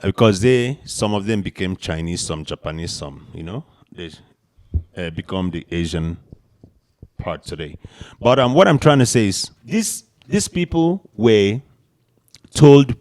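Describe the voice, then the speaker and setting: A man's voice: on the low side, lively intonation, a little slow, darkish tone. A man born in Canada co-hosting a casual discussion, explaining history in a lecturing way with emphatic rises.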